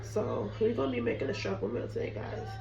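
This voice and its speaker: A woman's voice: mid-range voice, huge pitch swings, fairly quick, gravelly, lo-fi sound, background noise. A young woman who is sick and losing her voice chats casually to viewers while cooking at home. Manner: chatty, apologetic, addresses viewers as "guys". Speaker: cooking vlogger. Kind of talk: casual chat to camera. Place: home kitchen. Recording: roomy echo, mic at a distance.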